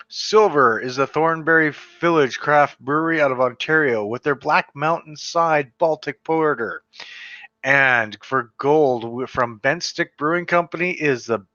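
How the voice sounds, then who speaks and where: A man from western Canada talks through a list of beer awards in a loose, conversational way, with a bright, forward voice. He stumbles over unfamiliar brand names as he goes. The recording is dry and slightly dull. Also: fairly high pitch, no room sound. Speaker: beer reviewer. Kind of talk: casual solo video commentary reading award results.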